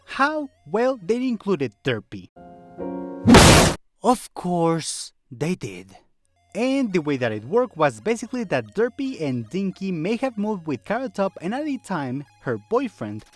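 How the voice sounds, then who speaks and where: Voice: mid-range voice, sing-song, a little slow, over music. A video essayist narrating a scripted fandom video in a conversational way, with rhetorical questions and wry asides.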